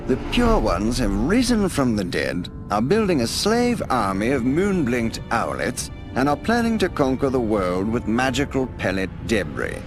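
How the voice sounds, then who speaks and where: A man's voice: mid-range voice, wildly swooping pitch, moderate pace, pitched above the performer's own voice. A man doing a sarcastic skeptical owl. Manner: sarcastic, incredulous. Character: skeptical male owl council member, mocking. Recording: game audio with music behind.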